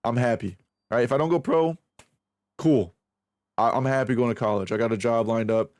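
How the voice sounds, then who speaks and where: A man's voice: mid-range voice, moderate pace, a little mellow, no echo. A man in his twenties streaming late at night, rambling casually and profanely about practice, pressure and backup plans. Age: 20s. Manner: rambling, profane, self-reflective, restarts a lot. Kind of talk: casual stream chat while aim training.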